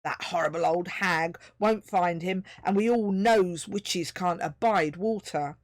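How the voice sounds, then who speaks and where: A woman voicing a tiny, excitable male magical being, blurting and gushing. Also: fairly high pitch, takes it slowly, no room sound, clean studio sound, voice pushed up. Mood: smug.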